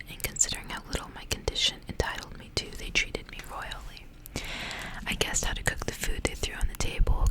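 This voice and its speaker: A woman reading a story aloud in a soft, breathy, intimate whisper for ASMR, with measured storytelling phrasing. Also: poor audio.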